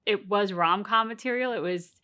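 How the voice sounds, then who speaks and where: A woman co-hosting a game reaction video, talking in an animated, rambling way with many restarts. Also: medium pitch, moderate pace. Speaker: co-host of a game reaction channel. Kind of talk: casual reaction chat.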